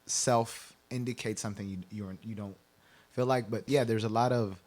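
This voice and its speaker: A man's voice: fairly low pitch, fairly even intonation, moderate pace, darkish tone. A man from Kenya talking in a conversational radio interview, explaining things and often checking in with "right?". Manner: explanatory, rhetorical "right?" tags. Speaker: guest explaining the census.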